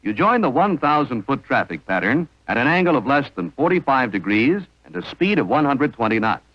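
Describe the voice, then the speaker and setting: A man's voice: mid-range voice, very animated, fairly quick, no echo, highs cut off. A man narrating an instructional training film in a formal, precise manner on a vintage soundtrack.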